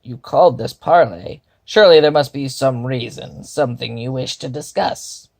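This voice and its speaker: A man's voice: mid-range voice, gravelly, a little mellow, natural voice. Man doing a sneering kobold general. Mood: smug. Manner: sneering, mocking, drawn-out. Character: smug menacing male kobold general.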